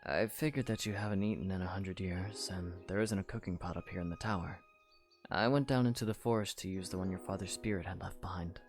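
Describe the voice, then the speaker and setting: A calm young hero voiced by a man with a very low voice and a steady, reassuring delivery. He is quietly caring toward the person he speaks to. Soft music plays faintly behind him in this audio drama. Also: fairly quick, lightly acted. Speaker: voice actor. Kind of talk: fan audio drama acting.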